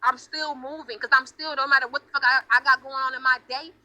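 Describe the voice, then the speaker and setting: A woman's voice: sits high, fairly even intonation, a little fast, sharp tone. A woman in her late twenties talking heatedly and casually on a livestream, emphatic, defensive and swearing freely. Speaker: livestream host.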